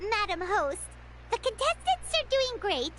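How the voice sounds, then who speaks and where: A woman's voice: extremely high pitch, sing-song, a little slow, background noise, exaggerated voice. A woman playing a tiny, cheerful, childlike fairy companion in an anime video game. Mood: helpful, earnest. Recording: game music behind the voice.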